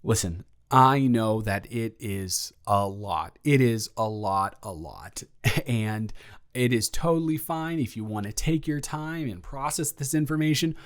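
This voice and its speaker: A man's voice: lowish voice, lively intonation, a little slow. A young man playing a lovestruck roommate in an intimate audio roleplay, earnest, rambling and stammering as he nervously confesses his feelings. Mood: nervous, hesitant. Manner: rambling, stammering, earnest, awkwardly self-deprecating.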